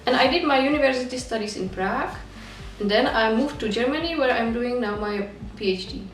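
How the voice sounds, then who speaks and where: A woman's voice: a little high, fairly quick, faint music behind. A young woman from the Republic, a PhD researcher speaking earnestly and upbeat in a video testimonial. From Czech Republic. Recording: echoey room, distant mic.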